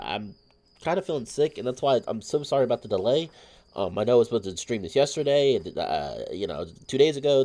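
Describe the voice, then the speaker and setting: A man's voice: medium pitch, dark tone. A slightly sick man hosting a let's play stream, chatting in a rambling, apologetic way. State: a little sick.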